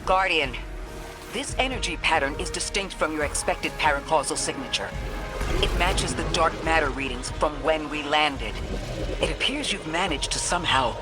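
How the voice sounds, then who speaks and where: A measured, precise robot guide from a video game, acted by a man. The voice sits a touch high for a male one and speaks formally and analytically. Loud music plays underneath it. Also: close to an everyday voice. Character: calm, analytical robot companion guiding a soldier. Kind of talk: scripted video game dialogue.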